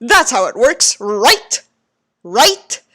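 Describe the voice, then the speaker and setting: A woman's voice: fairly high pitch, big pitch swings, very slow, pushed and bright. A woman giving sarcastic, incredulous commentary as she reads a book aloud for an online audience. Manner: incredulous, sardonic. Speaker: YouTube reader and commentator.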